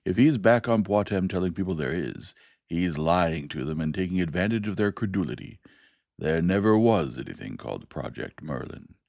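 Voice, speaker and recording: A man voicing a frail retired old general who flatly denies the claims. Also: sits low, normal speed, creaky, dry recording, studio quality. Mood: dismissive. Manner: firm, categorical denials.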